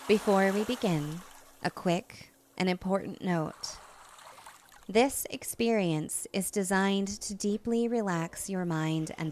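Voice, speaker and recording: A woman hypnotist gently narrating a guided relaxation, soothing and unhurried, with long pauses between phrases. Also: mid-range voice, slight background noise.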